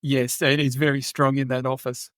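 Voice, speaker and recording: A man's voice: medium pitch, level tone, moderate pace, fairly bright tone, no echo, studio quality. A middle-aged man with an Australian accent, a guest on a podcast, talking casually and often repeating words as he gathers his thoughts. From born in England, raised in Australia and New Zealand. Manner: hesitant, repeats words while thinking. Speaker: guest, safety specialist. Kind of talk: conversational podcast interview.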